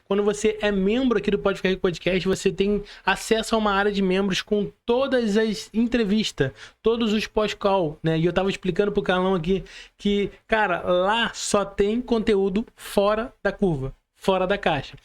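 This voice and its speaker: A man with a Brazilian Portuguese accent and a notably high voice hosts a casual podcast. He talks colloquially, addressing listeners like buddies and peppering his sentences with short tag questions. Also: steady tone, normal speed. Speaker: podcast host.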